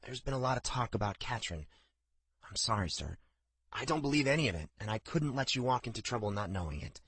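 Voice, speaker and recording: A man's voice: medium pitch, big pitch swings, fairly quick, fairly bright tone, breathy edge, dry recording, exaggerated voice. A man voicing a nervous young stable hand whose voice trembles with fear. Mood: hushed. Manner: trembling, fearful.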